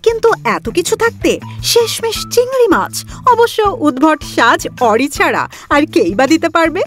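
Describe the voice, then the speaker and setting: A woman's voice: very high-pitched, big pitch swings, moderate pace. A woman presenting scripted entertainment gossip in a chatty, playful, teasing way. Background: music.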